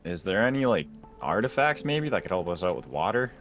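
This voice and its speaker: An awkward, easygoing guy played by a man in an improvised game roleplay. His voice is low, and he stammers and hedges casually over clearly audible music. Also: sing-song, a little fast, fairly bright tone. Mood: unsure.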